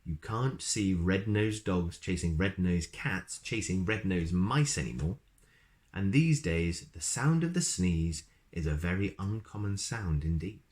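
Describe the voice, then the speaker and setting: A man's voice: low-pitched, expressive, unhurried, a little mellow. A man reading a children's story aloud expressively, with chatty asides to his listeners.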